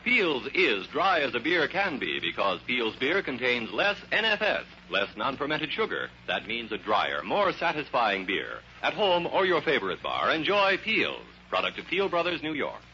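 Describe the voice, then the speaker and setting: A man's voice: mid-range voice, huge pitch swings, moderate pace, few pauses, sharp tone. An American man reading a scripted 1950s radio advertisement on an old broadcast. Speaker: beer commercial announcer. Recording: dull lo-fi vintage radio broadcast.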